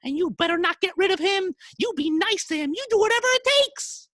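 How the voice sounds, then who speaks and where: A man's voice: very high-pitched, animated, brisk, sharp tone, no echo, clean studio sound, voice pushed up, full character voice. A man voicing a landowner's bossy, indulgent wife in an imperious, wheedling way for a told folktale.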